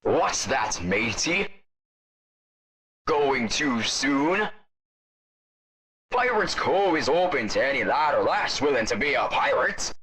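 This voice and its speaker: A man's voice: mid-range voice, sing-song, takes it slowly, long pauses, a bit bright, breathy edge, full character voice. An actor playing an animatronic pirate fox in an audio drama, a hearty, pushy showman talking in theatrical pirate fashion. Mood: jovial, beckoning.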